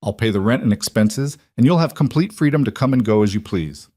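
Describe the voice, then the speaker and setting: A man voicing an earnest, remorseful yet controlling mafia boss husband in an audiobook, measured and insistent. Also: medium pitch, lively intonation, warm tone, no echo, natural voice.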